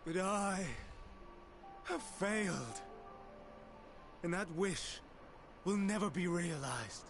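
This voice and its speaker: An actor playing a remorseful scholarly man in a game cutscene, speaking slowly and formally. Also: high voice, expressive, full character voice. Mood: sorrowful. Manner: slow, formal, sorrowful speech. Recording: game audio with music score.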